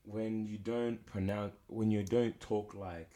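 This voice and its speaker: Laid-back young man with a low voice. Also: level tone, takes it slowly, mellow. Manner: laid-back, laughs often, restarts his sentences. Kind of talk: casual chat.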